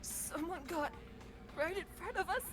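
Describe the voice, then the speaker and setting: A woman's voice: very high-pitched, lively intonation, a little slow, music in the background. A woman chatting casually with friends and reading game dialogue aloud.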